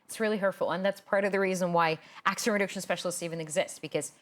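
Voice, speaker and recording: A woman's voice: lowish voice, fairly even intonation, quick pace. Earnest Ukrainian-born woman accent coach. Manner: earnest, talkative, many "like" fillers. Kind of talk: casual video interview.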